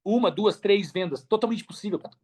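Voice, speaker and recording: Casting: Brazilian male podcast guest, fairly high register, informal advice-giver with colloquial storytelling. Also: brisk, a little mellow. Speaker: podcast guest, affiliate marketer. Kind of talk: casual podcast conversation, giving advice.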